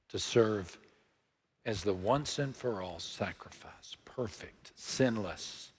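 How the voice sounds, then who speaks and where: A man in his sixties with a Texan accent, preaching a sermon in a slow, measured way that sinks to hushed, near-whispered lines. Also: fairly low pitch, husky, big-room sound. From Texas. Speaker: pastor.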